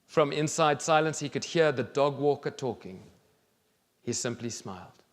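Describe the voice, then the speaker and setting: A man in his thirties reads a children's story aloud on stage in a deliberate, expressive way with pauses. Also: medium pitch. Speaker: children's author reading aloud. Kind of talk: storybook reading to an audience. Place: large theatre stage, indoors. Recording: reverberant theatre hall.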